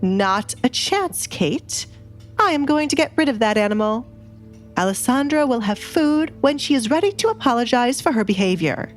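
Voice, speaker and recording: A woman's voice: a little high, lively intonation, moderate pace, soft background music, voice pushed up, lightly acted. A woman voicing a haughty, controlling noble mother, strict and scheming, in an audiobook drama. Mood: firm, cold.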